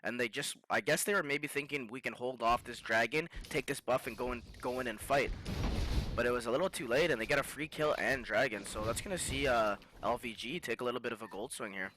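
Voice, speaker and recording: A man calls a live esports match, his voice sitting in a middle register and climbing sharply when fights break out. He sounds slightly sick and sniffly. The stream mix has clear background noise. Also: brisk. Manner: excitable play-by-play, rises high in fights. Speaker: esports caster.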